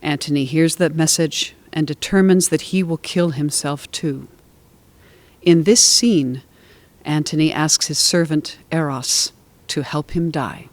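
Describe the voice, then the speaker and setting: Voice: medium pitch, steady tone, a little slow, no echo. Dry, mid-register storytelling narrator. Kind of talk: scripted narration, story synopsis.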